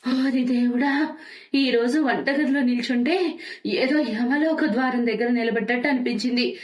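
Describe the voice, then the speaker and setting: A woman voicing a demanding, exasperated middle-aged mother-in-law with a dramatic, drawn-out delivery in a moral-story cartoon. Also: on the high side, echoey room, natural voice.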